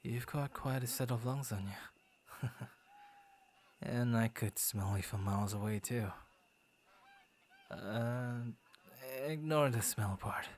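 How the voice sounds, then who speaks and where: A man's voice: mid-range voice, takes it slowly, pauses often, a little hiss or hum, natural voice. A man voicing a friendly, bashful werewolf who guards his forest, stammering and playfully theatrical, in an ASMR boyfriend roleplay. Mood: sheepish, apologetic.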